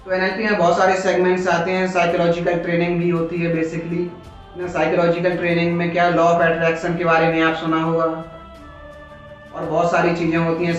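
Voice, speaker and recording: Indian male instructor, high voice, informal lecture, question-and-answer explaining, dull lo-fi recording. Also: completely flat delivery, brisk. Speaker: teacher, coaching instructor. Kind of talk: informal classroom-style lecture, mixing Hindi and English. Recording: echoey room, distant mic, dull sound, background music.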